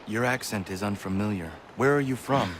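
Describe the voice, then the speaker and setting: An actor playing a stoic, earnest young assassin of the colonial frontier, speaking formally and tersely in a video game. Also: male voice, lowish voice, fairly quick, a little hiss or hum, close to an everyday voice. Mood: reserved, polite.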